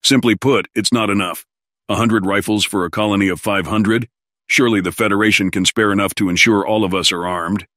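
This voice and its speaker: A man voices a courteous alien administrator of a frontier colony. His voice is lowish and dryly recorded, with a fussy, officious formality suited to sci-fi audio drama. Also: steady tone, brisk, a bit bright, dry recording, clean studio sound, lightly acted. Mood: complaining.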